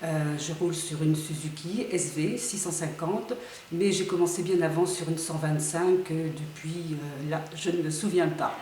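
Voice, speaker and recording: Woman late 60s, mellow low voice, lots of um pauses, roomy room. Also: slight background noise. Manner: hesitant, many fillers. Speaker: motorcyclist interviewee. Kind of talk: interview answers. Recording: roomy, distant mic.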